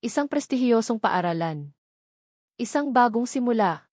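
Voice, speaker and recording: A woman's voice: a little high, expressive, moderate pace, darkish tone, no room sound, clean studio sound. A woman reading a scripted story as a dramatic narrator.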